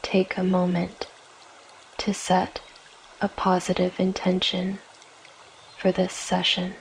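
A woman with a fairly low voice leads a guided relaxation. Her delivery is gentle and extremely flat in melody, unfolding very slowly with long pauses. A soft wash of water sounds runs behind her. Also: a little hiss or hum, poor audio. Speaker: yoga nidra guide. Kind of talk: guided meditation, scripted narration.

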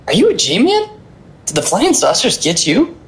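A performer playing an excitable, curious boy of about twelve in a retro radio drama. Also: medium pitch, moderate pace. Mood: eager, curious.

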